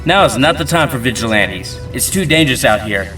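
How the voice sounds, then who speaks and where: A man's voice: medium pitch, expressive, a little fast, pushed and bright, recorded from a distance, rough recording. A man playing a flustered, bossy city cop in an audio drama, speaking with urgency. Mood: alarmed. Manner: urgent, exclaiming. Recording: off-mic under clear music.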